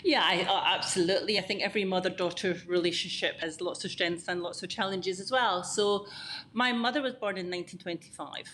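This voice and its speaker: Lower-voiced Scottish woman in her fifties. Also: steady tone, a little fast, a bit bright, a little hiss or hum. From Scotland. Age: 50s. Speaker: podcast guest. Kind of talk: conversational interview storytelling.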